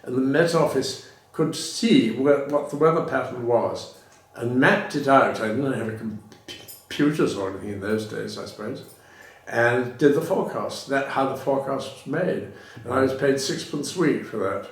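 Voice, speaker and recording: A man's voice: mid-range voice, normal speed, distant mic. An older British man reminisces for an interviewer, wandering between anecdotes, correcting himself as he goes and chuckling now and then. His voice sits a little back from the mic, in a room with a strong echo. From Britain. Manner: rambling, self-correcting, laughs between anecdotes.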